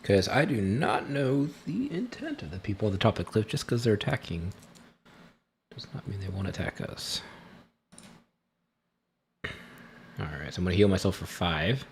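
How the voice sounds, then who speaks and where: A man with a low voice talks through his moves as a player in a tabletop role-playing game. His delivery is casual and conversational. A faint layer of background noise sits behind him. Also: sing-song, moderate pace, vocal fry, pauses often.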